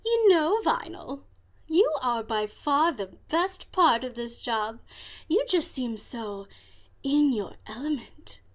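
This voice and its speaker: A woman's voice: high voice, animated, slow pace. A woman putting on a mock-posh, prim female pony in an audio drama, with affected, exaggerated delivery. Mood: prim admiration. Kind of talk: fan audio drama acting.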